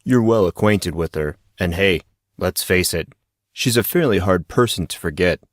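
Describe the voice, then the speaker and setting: A man's voice: low-pitched, normal speed, no echo, studio quality, lightly acted. A man playing a big, easygoing teenage boy who explains things patiently with a knowing, lightly teasing manner in an audio story.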